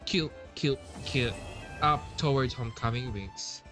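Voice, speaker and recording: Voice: mid-range voice, unhurried. A streamer giving casual, informal and gushing reactions while playing a video game. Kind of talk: casual gameplay reaction commentary. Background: game music.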